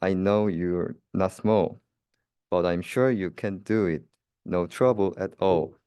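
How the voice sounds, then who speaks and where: A young man reading a children's rhyming story aloud in a careful, slightly halting manner. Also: sits low, mellow, clean tone, no echo. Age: young adult. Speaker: student reader.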